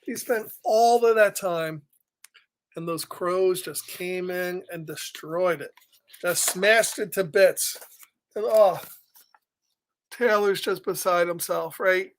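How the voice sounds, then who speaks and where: A male storyteller with a high voice and a slow pace. He reads a picture book live to children, exclaiming over it and chatting casually with listeners between lines. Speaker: children's story reader and host.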